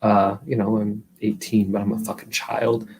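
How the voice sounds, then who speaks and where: A man's voice: fairly low pitch, little pitch movement, normal speed, creaky, darkish tone, a little hiss or hum. Profane, rambling man hosting a live stream. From California, US. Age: 30s. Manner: profane, rambling, chatty, answers viewers' chat. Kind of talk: casual storytelling live stream.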